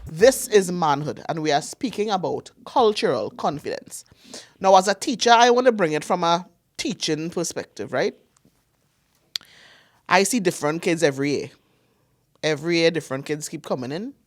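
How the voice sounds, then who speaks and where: Earnest Trinidadian woman teacher, low voice. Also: expressive, normal speed, faint music behind. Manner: earnest, explaining from experience. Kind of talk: casual panel chat.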